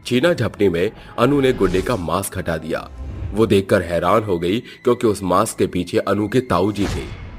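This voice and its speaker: A man's voice: deep voice, normal speed, faint music behind. A man narrating a scripted horror cartoon story in a dramatic storytelling style.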